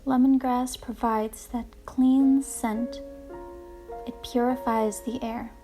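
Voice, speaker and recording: A woman hosting a guided relaxation session, speaking in a calm, gentle, deliberate way with soothing narration. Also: fairly high pitch. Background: music playing behind the voice.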